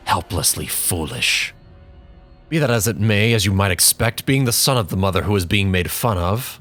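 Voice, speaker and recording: A man performing a bitter young man who looks back on his mother with contempt, reading in a measured, brooding way with dramatic pauses. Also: deep voice, a bit bright. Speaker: narrator in character.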